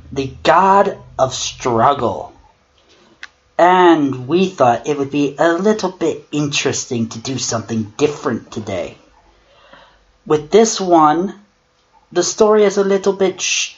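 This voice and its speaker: A wry male god of struggle, played by a man, holds forth with a slow, theatrical delivery. He has a middle-pitched voice and treats horror storytelling with deliberate drama and sarcasm. The recording is dull and lo-fi. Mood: grandly chatty. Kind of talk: dramatic creepypasta reading with mocking commentary. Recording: dull lo-fi mic with clear noise.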